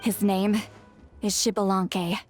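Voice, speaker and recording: A woman's voice: mid-range voice, flat delivery, slow pace, faint music behind, close to an everyday voice. A woman voicing a proud, jumpy shaman in a video game, with a theatrical, grumbling delivery. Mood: solemn.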